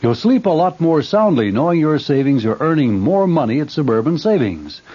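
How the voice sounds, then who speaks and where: A man's voice: on the high side, big pitch swings, moderate pace, mellow, slightly rough. A man reading a scripted vintage radio commercial as an announcer. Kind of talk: scripted vintage bank advertisement read. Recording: muffled old radio broadcast.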